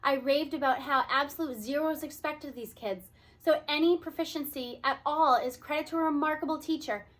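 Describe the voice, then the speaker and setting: A woman's voice: high-pitched, a little fast, few pauses, fairly bright tone, low-quality recording. Woman reading as a grave, sympathetic female principal. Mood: sad, pleading. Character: sorrowful, sympathetic female school principal breaking bad news.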